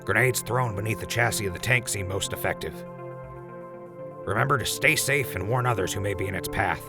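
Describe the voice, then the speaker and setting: Man playing a low-voiced wasteland radio announcer. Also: brisk, lightly acted. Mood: informative, cautionary. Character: post-apocalyptic radio announcer warning wasteland settlers. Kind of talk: scripted in-world radio public service announcement. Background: prominent music.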